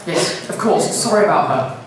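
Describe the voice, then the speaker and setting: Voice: medium pitch, expressive, normal speed, a crowd in the background, natural voice. An actor playing an earnest, polite pantomime character with emphatic, projected stage delivery in a school hall. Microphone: distant camera microphone. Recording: echoey school hall, distant microphone, noisy.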